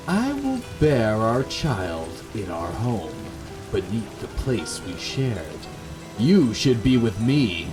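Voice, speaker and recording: An eerie dead woman, read by a man beckons her lover with intense devotion. The delivery is slow and dramatic, in gothic horror visual novel style, with music clearly behind it. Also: lowish voice. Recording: background music under the voice.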